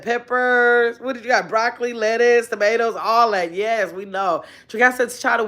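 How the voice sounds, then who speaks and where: A woman in her fifties, chatting casually on a livestream from her bedroom, reading viewer comments aloud in an animated, talkative way. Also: fairly high pitch. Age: 50s. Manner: chatty, animated, drawn-out exclamations. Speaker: livestream host. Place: bedroom at home, indoors. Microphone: phone held close at arm's length.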